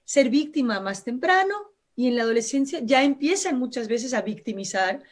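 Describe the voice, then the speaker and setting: A woman's voice: a little high, animated, moderate pace. Earnest Brazilian woman explaining on radio. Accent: Brazilian Portuguese. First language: Portuguese. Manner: earnest, explanatory, with frequent restarts and fillers. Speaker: guest expert. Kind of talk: live radio interview.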